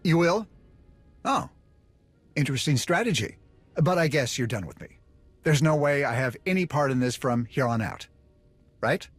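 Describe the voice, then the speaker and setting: An actor playing a nervous, chatty smuggler informant in a video game, with a husky voice and slangy, rambling way of talking. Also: male voice, medium pitch, lively intonation, moderate pace, pauses often, dry recording, natural voice. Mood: uneasy. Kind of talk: video game dialogue acting. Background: music.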